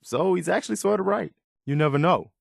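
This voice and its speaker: An actor playing a principled, loyal and weary young militia soldier in a video game, speaking sincerely and plainly. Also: male voice, high voice, fairly quick, creaky, breathy edge, no echo, studio quality, full character voice. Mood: reflective, principled. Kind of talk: video game companion dialogue.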